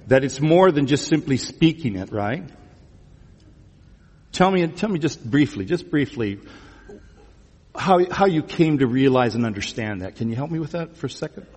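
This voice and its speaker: A man's voice: mid-range voice, animated, normal speed, long pauses, a little mellow, breathy edge. A man speaking as a pastor in a conversational sermon, teasingly putting questions to the congregation and repeating phrases back. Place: large church room before a congregation. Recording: reverberant hall sound.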